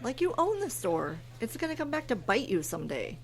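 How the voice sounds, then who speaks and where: An actress playing a frustrated, disapproving wife of thirty in a horror audio drama. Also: female voice, a little high, very animated, brisk, vocal fry, faint music behind. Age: 30s. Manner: reproachful, emotional. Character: frustrated thirty-year-old wife, disapproving, easily upset friend.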